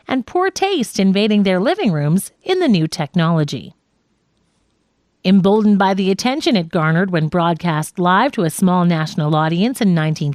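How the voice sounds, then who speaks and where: A woman's voice: medium pitch, dry recording, studio quality. A woman reading a nonfiction audiobook as scripted narration.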